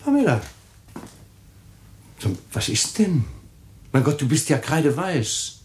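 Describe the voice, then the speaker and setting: An actor playing a husband whose calm turns coldly threatening and demanding in a radio drama. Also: male voice, fairly high pitch, slow pace, a little hiss or hum. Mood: menacing. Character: menacing husband, cold, commanding. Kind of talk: German radio drama acting.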